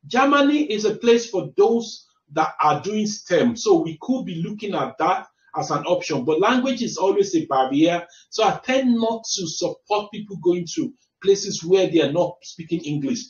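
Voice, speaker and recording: A fairly high-voiced man with a Nigerian accent, walking viewers through a screen-shared website. He explains patiently and often restarts his phrases. The mic is distant and the room echoes, with a dull lo-fi tone. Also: animated, normal speed, few pauses. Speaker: careers adviser.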